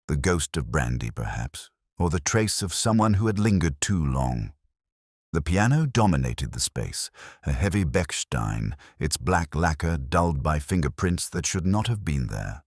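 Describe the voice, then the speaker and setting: Male actor as brooding inspector narrating a detective drama: extremely low voice, expressive intonation, measured delivery. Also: dry recording, clean studio sound, exaggerated voice. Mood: brooding, observant. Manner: measured, literary, reflective. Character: brooding male police inspector recounting a murder case. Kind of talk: first-person scripted detective story narration.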